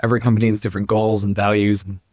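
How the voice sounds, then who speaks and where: A man's voice: fairly low pitch, flat delivery, racing, a little mellow, dry recording, clean studio sound. A man speaking as a company founder and interview guest, explaining conversationally and emphatically, often dropping in "like" and "you know".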